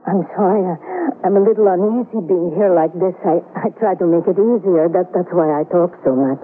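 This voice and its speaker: An actress playing a gentle, talkative Polish immigrant woman in her fifties with a Polish accent, speaking softly, formally and with small stammers. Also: lowish voice, fairly quick, breathy edge. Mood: gentle, probing. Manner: soft-spoken, stammering, formal phrasing. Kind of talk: old-time radio drama acting. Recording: tinny, lo-fi radio broadcast with faint hiss.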